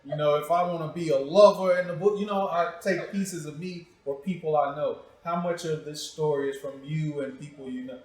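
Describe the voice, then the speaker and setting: High-voiced American man hosting in echoey room. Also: steady tone, moderate pace, few pauses. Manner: teasing, provocative, slangy. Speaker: show host interviewer. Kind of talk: casual, joking interview.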